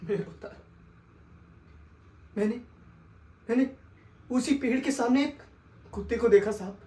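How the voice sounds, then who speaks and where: A woman performing a dramatic monologue as a desperate orphaned son, pleading with a sahib, stammering and swinging between hushed and wailing delivery. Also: fairly high pitch, moderate pace, lightly acted. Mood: haunted. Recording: echoey room.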